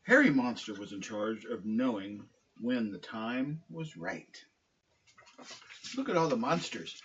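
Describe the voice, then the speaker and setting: A man with a husky voice reading a children's picture book aloud. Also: medium pitch, animated, a little slow, a bit bright, highs cut off, slight background noise. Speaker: storybook reader, dog owner.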